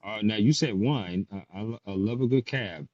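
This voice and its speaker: A man's voice: lowish voice, moderate pace, darkish tone. A man hosting a casual podcast interview, firing off playful questions with some slang. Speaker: podcast host. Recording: dull lo-fi sound.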